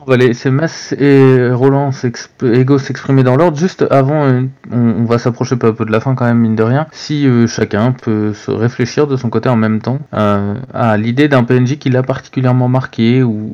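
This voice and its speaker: A man's voice: mid-range voice, dark tone, highs cut off. A man hosting a round-table show, casually moderating the discussion and handing the floor to guests.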